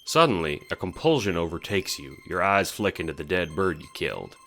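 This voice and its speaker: Very deep-voiced man narrating, noisy recording. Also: expressive, brisk, pushed and bright. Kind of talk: scripted second-person audio drama narration.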